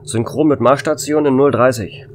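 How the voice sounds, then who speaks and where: A man's voice: medium pitch, normal speed, natural voice. A man playing a wry, easygoing space station worker in a German radio play, with a casual, teasing manner. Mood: focused. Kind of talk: amateur radio drama acting. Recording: faint noise and hum.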